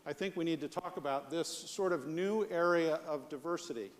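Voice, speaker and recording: A man's voice: fairly high pitch, moderate pace. An American man giving a live leadership presentation as a conference speaker, conversational and punctuated with rhetorical questions. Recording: long hall reverb.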